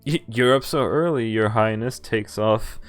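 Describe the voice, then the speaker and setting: A man's voice: fairly low pitch, unhurried. A young man doing a live let's play, reading game text aloud with informal commentary and the odd stumble. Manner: informal, stumbles and rereads while sight-reading. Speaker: game streamer. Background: faint game music.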